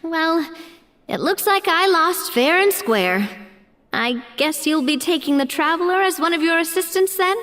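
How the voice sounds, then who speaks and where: A woman's voice: extremely high pitch, lively intonation, unhurried, sharp tone, heavily acted. A woman voicing an eager, playful young woman with a competitive streak in an anime video game. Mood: hopeful, resigned. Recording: long reverb, faint game music.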